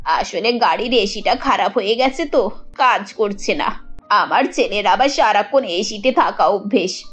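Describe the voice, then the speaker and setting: A woman's voice: mid-range voice, measured pace, husky. A woman voicing a middle-aged cartoon mother-in-law who is greedy, insinuating and mock-modest. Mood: slyly hinting. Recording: dull lo-fi audio with music.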